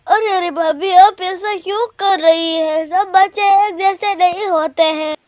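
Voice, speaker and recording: Woman as slow, high-pitched spoiled young bird. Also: steady tone. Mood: protesting. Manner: drawn-out, complaining. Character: spoiled young student sister-in-law bird, whiny and lazy. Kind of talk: Hindi children's cartoon voice acting.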